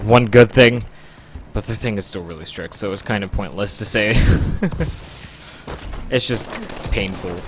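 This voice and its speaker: A man's voice: lowish voice, a little hiss or hum. A man chatting casually to his audience during a livestream, rambling along with frequent ums.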